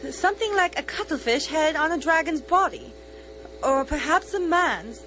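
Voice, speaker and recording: A woman playing an excitable, easily frightened ship's crew member in an amateur radio drama, theatrical and urgent. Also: sits high, moderate pace, slight background noise, poor audio. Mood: curious, uneasy.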